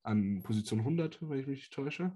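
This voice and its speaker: A man co-hosting a casual sports podcast, giving details in a relaxed, informative way. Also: medium pitch, brisk. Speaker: podcast co-host.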